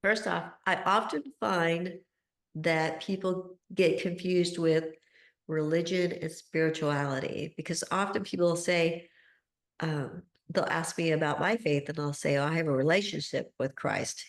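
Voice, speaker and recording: A woman's voice: deep voice, measured pace, roomy sound. A woman podcast host with a cold, sounding a little nasal, chatting in a friendly way. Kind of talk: podcast interview.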